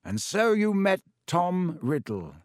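Wise old wizard headmaster, soft and slow, raised voice, dry close sound, man doing it. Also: sits high, wildly swooping pitch, no echo, pitched above the performer's own voice, full character voice. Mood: calm, wise. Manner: slow, gentle, measured speech. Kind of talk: audiobook character voice.

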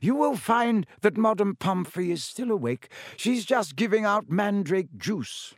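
A man voicing a kindly, wise old male wizard headmaster, gentle and measured. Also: sits high, animated, dry recording, clean studio sound, pitched above the performer's own voice.

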